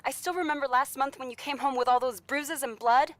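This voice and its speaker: A woman's voice: high-pitched, brisk, fairly bright tone, no room sound. An actress playing a worried, loving young girlfriend in a 1930s radio drama, pleading and tender. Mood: upset, pleading.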